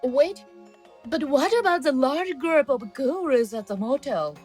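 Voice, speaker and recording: A woman playing an earnest, soft-hearted young gatekeeper in a radio drama, speaking hesitantly. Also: high-pitched, animated, slow pace, soft background music. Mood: troubled.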